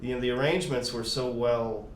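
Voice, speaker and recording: A man's voice: medium pitch, fairly even intonation, a little fast. Easygoing man recorded from afar. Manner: thoughtful, easygoing storyteller. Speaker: band member, arranger. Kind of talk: casual interview chat. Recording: roomy distant mic with faint noise.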